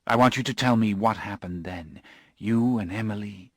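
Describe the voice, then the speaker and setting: A man voicing a calm, soft-spoken gentleman who speaks low and gently. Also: moderate pace, no room sound, clean studio sound.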